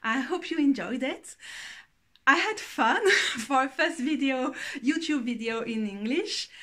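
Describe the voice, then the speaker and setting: A woman's voice: high-pitched, animated, measured pace, sharp tone. A tired young woman with a Belgian French accent, a French speaker reviewing a tarot deck in English, hesitant and often searching for words. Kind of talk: casual video review.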